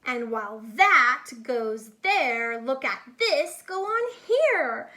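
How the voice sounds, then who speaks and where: A woman reading a picture book aloud expressively in an animated, deliberate way, with explanatory asides for young listeners. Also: high voice, a little hiss or hum. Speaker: children's read-aloud storyteller, teacher-like.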